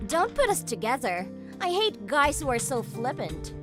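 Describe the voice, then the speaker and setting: A woman voicing a haughty young rich heiress, sharp-tongued and scornful, in an anime-style manga dub. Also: high-pitched, big pitch swings, normal speed, music in the background. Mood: suspicious, cold.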